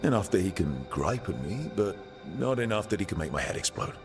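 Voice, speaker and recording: A man's voice: sits low, very animated, fairly quick, music in the background. An actor playing a level-headed male fantasy game hero who talks in a matter-of-fact way.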